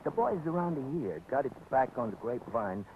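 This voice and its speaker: An actor playing a shady underworld undertaker who talks in gruff street slang. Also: male voice, medium pitch, big pitch swings, brisk. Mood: hushed, confiding. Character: shady underworld undertaker, middle-aged man. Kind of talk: 1940s radio acting. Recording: tinny 1949 radio broadcast with faint hiss.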